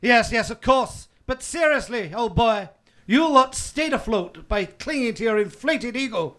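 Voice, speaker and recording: Actor doing a pompous doctor, flustered, lively pitch. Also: unhurried. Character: bumbling, cheeky hospital doctor.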